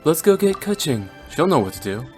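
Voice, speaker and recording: A man's voice: fairly high pitch, very animated, brisk, over loud music. A man playing an easygoing young male adventurer in a fantasy video game. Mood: helpful. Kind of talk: animated game dialogue.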